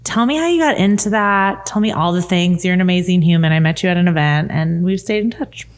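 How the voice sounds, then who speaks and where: A woman's voice: fairly low pitch, lively intonation, brisk. Chatty woman hosting a podcast. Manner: chatty, upbeat, laughs a lot. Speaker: podcast host. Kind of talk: casual podcast conversation.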